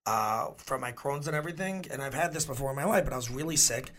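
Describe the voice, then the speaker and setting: A young man with a hoarse, worn-out voice, talking casually as a podcast co-host and rambling through restarts. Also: mid-range voice, fast talker, a bit bright, a little hiss or hum. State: hoarse, losing his voice. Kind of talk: casual chat.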